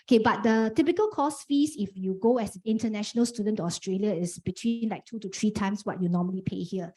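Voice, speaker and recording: A woman's voice: mid-range voice, quick pace, a little mellow. A woman presenting a programme briefing, explaining step by step with many "okay" fillers. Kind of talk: information briefing.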